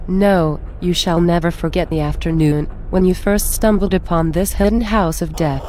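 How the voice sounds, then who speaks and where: A woman's voice: on the low side, fairly even intonation, normal speed, a little hiss or hum, lightly acted. A woman playing an eerie, knowing, wistful figure in a gothic horror audio drama, with solemn, dreamy, literary phrasing. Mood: ominous. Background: dark horror music soundtrack.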